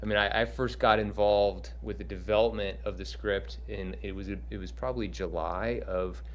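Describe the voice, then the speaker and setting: A man's voice: lowish voice, fairly even intonation, normal speed, a little hiss or hum. A man, a film director and animator, giving earnest, encouraging, rambling answers on a Q&A panel.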